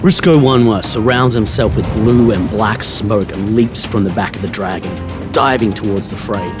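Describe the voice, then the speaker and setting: A man's voice: fairly low pitch, normal speed. A man reading descriptive scripted narration for a fantasy audio drama. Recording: prominent music bed behind narration. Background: music.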